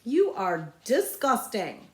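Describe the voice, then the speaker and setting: A woman's voice: fairly high pitch, big pitch swings, pitched above the performer's own voice. A woman puts on a snotty storybook schoolgirl of about ten. She is full of scorn and stretches her words for emphasis. The recording sounds roomy and echoey. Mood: scornful. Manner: disdainful, drawn-out emphasis. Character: snotty, scornful fifth-grade girl.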